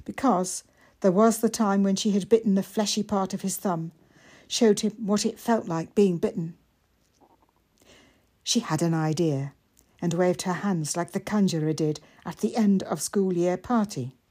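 A woman reading a story as scripted narration, in a measured and expressive storytelling manner. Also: mid-range voice. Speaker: author reading own fiction.

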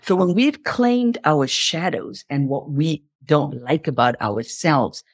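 A woman's voice: sits low, sing-song, slow, no room sound. Emphatic woman in a dry, close recording. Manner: emphatic, repeats phrases for emphasis. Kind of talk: impassioned motivational explanation in a conversation.

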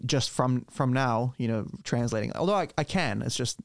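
A man from Romania hosts a podcast in a relaxed conversational style. He is a native speaker of Romanian with a mid-range voice and phrases things informally and a little haltingly. The sound is dry, with no room around it. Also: mid-range voice, creaky, no echo. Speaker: podcast host. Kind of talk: casual conversation.

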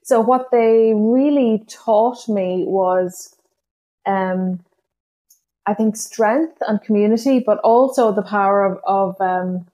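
A woman from Ireland with an Irish accent, a guest poet whose first language is Irish, speaking reflectively in an interview, pausing and restarting often. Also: mid-range voice, dark tone. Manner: thoughtful, hesitant, many restarts and fillers.

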